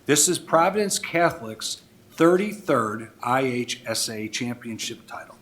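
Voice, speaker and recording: A man's voice: medium pitch, expressive, unhurried, a bit bright. A man in his sixties with an American accent giving a public recognition speech as a mayor, partly reading, with informal, folksy asides. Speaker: mayor presiding over a village board meeting. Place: indoor village board meeting room.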